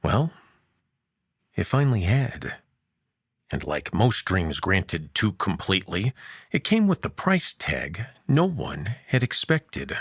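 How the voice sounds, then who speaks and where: A man hosting a story show and narrating a science fiction tale, expressive, dropping to hushed low lines and lifting for emphasis. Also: slow pace, breathy edge, dry recording, studio quality. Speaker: host and narrator.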